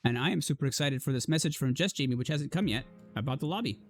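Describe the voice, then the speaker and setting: A hoarse man in his thirties casting an esports league livestream, chatting casually with viewers while filling time. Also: medium pitch, quick pace, no room sound, faint music behind. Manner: casual, chatty, addresses chat.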